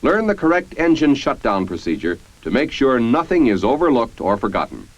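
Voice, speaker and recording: American guy narrating an old 50s army training film, formal and measured, lots of pitch movement, muffled old film sound. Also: sing-song. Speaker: narrator of military instructional film. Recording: dull, band-limited 1950s film soundtrack.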